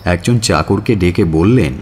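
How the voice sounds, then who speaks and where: A man narrating an audio story in a measured, expressive and dramatic storytelling style. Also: low voice, a little hiss or hum. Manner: measured, expressive, drawn-out phrasing.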